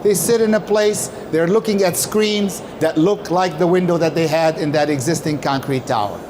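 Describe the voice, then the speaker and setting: A man's voice: fairly high pitch, noisy background. A man giving a prepared presentation as a company speaker, explaining emphatically and posing questions that he then answers. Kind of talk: prepared conference-style presentation talk.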